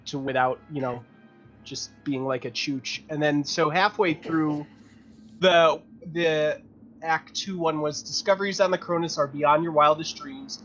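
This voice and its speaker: A man's voice: fairly high pitch, fairly bright tone. A man talking casually as a tabletop game player, informal and rambling in his delivery. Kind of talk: casual game debrief, sometimes reading notes aloud. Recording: dull lo-fi audio with faint noise.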